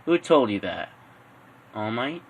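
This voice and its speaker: A man's voice: medium pitch, sing-song, slow, slightly rough, dull audio, exaggerated voice. A man voicing a scheming supervillain in an amateur fan story, muttering and deliberating in an offhand way. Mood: probing. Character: scheming adult male supervillain, gruff and musing.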